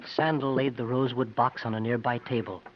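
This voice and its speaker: A man's voice: mid-range voice, steady tone, brisk, a little hiss or hum, natural voice. An actor playing an earnest young man who narrates his frontier adventure in 1950s radio drama style. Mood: dramatic. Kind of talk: 1950s radio acting, first-person storytelling. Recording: dull 1950s radio recording with music.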